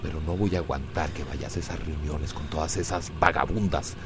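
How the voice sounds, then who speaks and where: A man's voice: a bass voice, fairly even intonation, a little fast, background noise. A man with a Costa Rican accent playing a domineering, hot-tempered, jealous husband in a radio drama. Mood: gruff, controlling.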